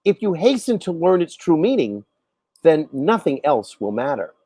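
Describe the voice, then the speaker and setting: A man reciting a storybook poem in a measured, dramatic, deliberate storytelling manner. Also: a little high, sing-song, mellow, husky, no echo. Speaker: poet reading own poem. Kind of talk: expressive storybook poetry recitation.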